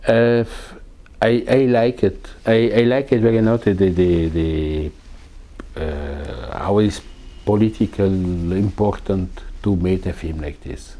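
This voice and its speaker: Slow, deep-voiced Swiss man searching for words. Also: fairly even intonation, mellow. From Italian-speaking Switzerland. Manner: halting, searching for English words, frequent uh fillers. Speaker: cinematographer. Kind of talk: recorded interview.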